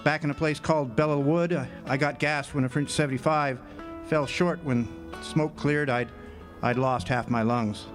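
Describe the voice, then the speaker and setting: A man's voice: a little high, fairly even intonation, moderate pace, close to an everyday voice. An actor playing a weathered, wry male drifter and Great War veteran, telling his story plainly in a 1940s radio drama style. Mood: rueful. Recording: guitar music behind the voice. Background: acoustic guitar music.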